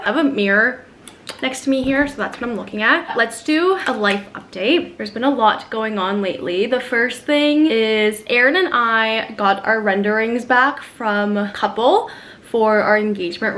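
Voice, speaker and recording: Young woman vlogging her day, chatty and upbeat, voice on the higher side, doing makeup at home. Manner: chatty, upbeat, talks to camera with soft fillers. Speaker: lifestyle vlogger. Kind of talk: casual vlog chat while doing makeup. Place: at home in front of a mirror.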